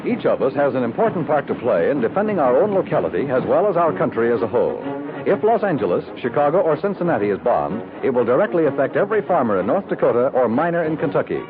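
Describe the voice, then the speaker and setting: A man's voice: medium pitch, sing-song, quick pace. A man reading scripted announcements in a formal, emphatic, polished 1950s radio style. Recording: tinny 1950s radio recording with music.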